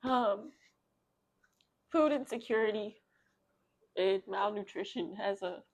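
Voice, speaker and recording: A woman hosting a children's read-aloud, speaking in an expressive, emphatic storyteller's manner. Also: medium pitch, slow pace, a little hiss or hum.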